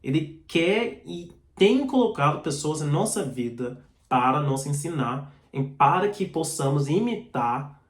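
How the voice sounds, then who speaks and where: Earnest preacher with a foreign accent, echoey room. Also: male voice, a little high, lively intonation, a little slow. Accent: foreign accent in Portuguese. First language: not Portuguese. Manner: earnest, direct teaching, reading out verses. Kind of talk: sermon.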